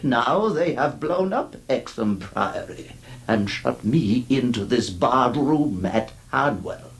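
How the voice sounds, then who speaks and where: An actor playing a haunted nobleman descending into madness, narrating horror radio drama in a brooding, theatrical way with dramatic pauses. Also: mid-range voice, animated. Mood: grim, bitter. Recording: clearly noisy radio drama recording.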